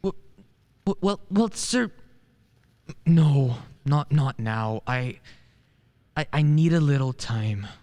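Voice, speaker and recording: An amateur actor playing an earnest, hesitant defence lawyer in a radio play, courteous and halting. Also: medium pitch, lively intonation, dark tone. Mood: flustered.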